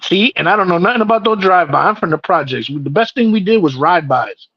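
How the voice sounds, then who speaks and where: A man's voice: sits high, lively intonation, fast talker, husky, dry recording, lo-fi sound. A man in his forties telling stories and bantering on a panel in a joking, slangy way with some swearing. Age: 40s. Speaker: panelist on an open panel show. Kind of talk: casual storytelling banter.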